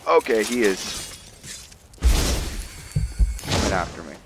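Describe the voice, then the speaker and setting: Deep-voiced, slow-paced man playing a game. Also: lively intonation, slight background noise. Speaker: gamer hosting a roleplay playthrough. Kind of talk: casual game commentary, reading in-game text aloud.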